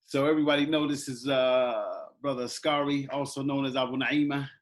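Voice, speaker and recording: A middle-aged man hosting a casual interview, talking haltingly with many fillers. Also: mid-range voice, steady tone. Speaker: interview host.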